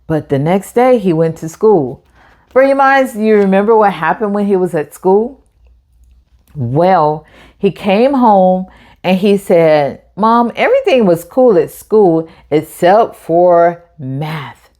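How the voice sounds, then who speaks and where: A woman's voice: medium pitch, expressive, slow pace, a little mellow. A woman hosting a children's storytime, talking like a teacher and encouraging young listeners with direct questions. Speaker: host of children's read-aloud storytime.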